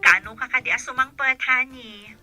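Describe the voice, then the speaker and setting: A woman's voice: high-pitched, fairly even intonation, moderate pace, sharp tone, faint music behind. A woman playing an affectionate, slightly anxious young bride-to-be in a radio-style drama.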